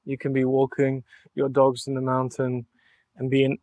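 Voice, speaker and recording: A man's voice: medium pitch, monotone, moderate pace, dark tone, no room sound. A young man from Mallorca, an artist being interviewed on a podcast, talking in an earnest, reflective way and thinking aloud in long flowing sentences. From Mallorca, Spain. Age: young adult. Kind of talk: relaxed interview conversation.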